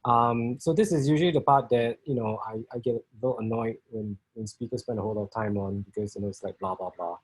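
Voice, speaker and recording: A middle-aged man from Singapore with a Singaporean accent, giving a talk over a video call, halting with many fillers and restarts. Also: lowish voice, steady tone, slightly rough, no room sound, poor audio. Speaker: guest speaker.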